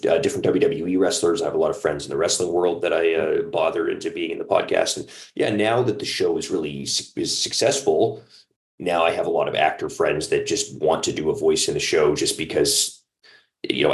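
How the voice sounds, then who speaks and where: A man's voice: a bass voice, fairly even intonation, fairly quick, creaky. A man speaking casually as an interview guest, a creative performer talking about his work. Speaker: audio drama creator and actor, interview guest.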